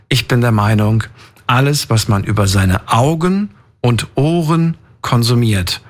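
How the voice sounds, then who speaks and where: A man hosting a late-night radio call-in show from the studio, direct and opinionated, teasing his callers. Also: mid-range voice.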